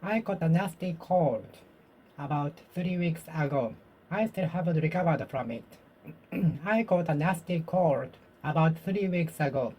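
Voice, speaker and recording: A Japanese-speaking learner practicing English alone, slowly and carefully repeating the same sentences, while getting over a cold. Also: medium pitch, darkish tone, a little hiss or hum, poor audio. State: recovering from a cold. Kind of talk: self-study speaking practice, repeating phrases aloud.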